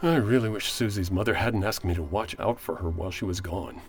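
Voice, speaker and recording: A man playing an earnest, mild, polite gentleman minding a child in a radio play. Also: sits low, brisk, lightly acted. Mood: rueful.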